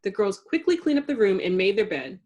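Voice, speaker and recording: A woman narrates a children's story in a mid-pitched voice. Her intonation is very animated, and she adds dramatic emphasis to build suspense. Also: a little fast, lo-fi sound. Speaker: storybook reader.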